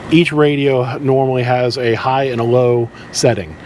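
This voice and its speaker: A man giving instructions in a casual, halting, conversational way, as a boat captain presenting tips. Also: mid-range voice, steady tone, darkish tone, a little hiss or hum. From southeastern United States.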